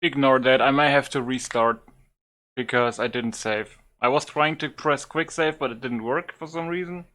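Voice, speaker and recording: Man, gameplay creator, casual aside, apologetic, bright tone, mid pitch. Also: normal speed. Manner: apologetic, offhand.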